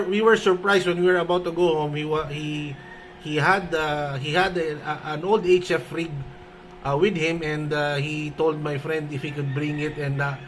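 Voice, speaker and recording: A Filipino man with a fairly high voice talks as an amateur radio operator. His delivery is halting, with repeated words, and there is faint noise behind him. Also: a bit bright, poor audio. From Philippines. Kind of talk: ham radio conversation.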